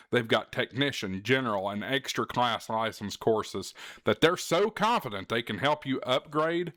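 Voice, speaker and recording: A man's voice: mid-range voice, bright, forceful tone, no echo, clean studio sound. A man hosting a hobby show, talking casually and directly to the listener in an earnest, encouraging way with emphasis on key words. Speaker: host of a ham radio show. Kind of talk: casual direct-to-camera talk.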